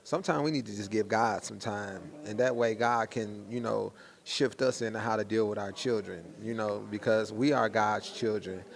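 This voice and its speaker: A man's voice: lowish voice, fairly even intonation, normal speed, creaky. A man hosting a casual promotional interview, talking in an informal, slangy, hyped-up way. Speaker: host and interviewer.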